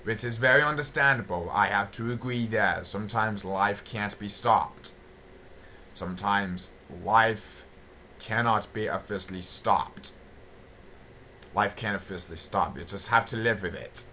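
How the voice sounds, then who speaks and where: Rambling young man, bright voice. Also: fairly low pitch, a little slow, slight background noise. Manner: repetitive, meandering, many restarts and fillers. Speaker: channel host. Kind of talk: rambling audio log.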